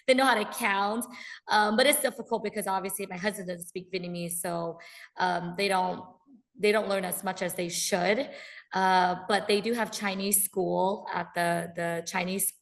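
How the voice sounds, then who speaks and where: A woman, chatting as a podcast guest. She is upbeat, laughs often and fills gaps with ums. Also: mid-range voice. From United States. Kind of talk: casual interview chat.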